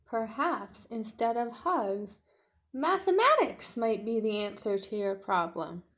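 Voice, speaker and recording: A woman voicing a stern, logical father dinosaur in a storybook, in a measured, pronouncing manner. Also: fairly high pitch, animated, a bit bright, full character voice. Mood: stern, advising. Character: stern, logical father T. rex giving advice.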